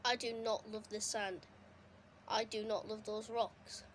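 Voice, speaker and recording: A young woman giving casual, excitable commentary while playing a console game, her voice going a bit hoarse. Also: medium pitch, measured pace, a little hiss or hum. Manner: chatty, excitable, reacting to the game. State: losing her voice. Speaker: gaming streamer. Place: indoors at a console. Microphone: phone filming a TV screen.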